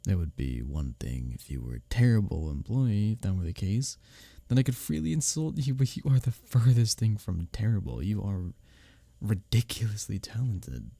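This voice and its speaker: Casting: male actor as smitten workplace rival for intimate roleplay audio. Breathy, husky, dry close sound; teasing yet earnest. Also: fairly low pitch, dry recording. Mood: earnest, admiring. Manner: expressive, halting confessions with soft chuckles and sighs. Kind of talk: ASMR roleplay audio drama, spoken intimately to the listener.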